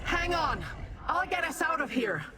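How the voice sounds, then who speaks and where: An actress playing a proud, secretive demon in a video game, haughty, formal and deliberate. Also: female voice, extremely high pitch, very animated, background noise, heavily acted. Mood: urgent. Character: proud, aloof demon schemer, secretive and self-serving. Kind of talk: video game character acting. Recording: music behind.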